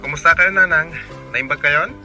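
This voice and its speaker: An actor playing a brisk, insistent grown son in an Ilocano radio drama, speaking with an Ilocano accent. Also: male voice, a little high, over music, lightly acted.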